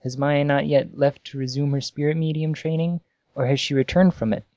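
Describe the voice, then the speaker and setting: A man casually reading amateur fan fiction aloud on a podcast, halting and amused, with asides. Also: on the high side, fairly even intonation, dark tone, dry recording, muffled recording.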